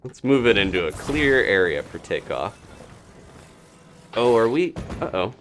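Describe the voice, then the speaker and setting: A man's voice: on the low side, sing-song, a little slow, fairly bright tone, a little hiss or hum. A man doing casual live video game commentary, thinking aloud in a playful, rambling, muttering way.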